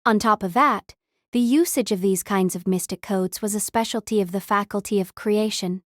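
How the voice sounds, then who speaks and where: A woman's voice: mid-range voice, normal speed, no echo. Woman narrating a novel, close dry sound. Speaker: audiobook narrator. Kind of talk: scripted story narration.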